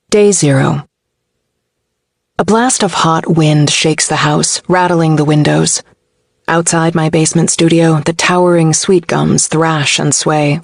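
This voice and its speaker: Woman narrating, creaky voice, very dry. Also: deep voice, dry recording. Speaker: audiobook narrator. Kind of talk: scripted first-person novel narration.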